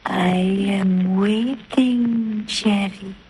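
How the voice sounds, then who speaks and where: A slow hypnotic villainess. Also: female voice, medium pitch, steady tone, dark tone, husky, heavily acted, poor audio. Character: sinister woman hypnotist calling in a trance. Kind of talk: 1930s radio drama acting.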